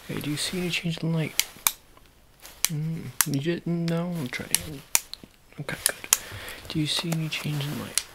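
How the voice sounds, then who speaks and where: A man in his thirties doing a soft-spoken, whispery ASMR doctor roleplay in a hesitant, halting mumble, close to the mic. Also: on the high side, gravelly. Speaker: ASMR roleplayer playing an eye surgeon. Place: small dim room, indoors. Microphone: close to the mic, near camera. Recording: noisy close-up home recording with clicks.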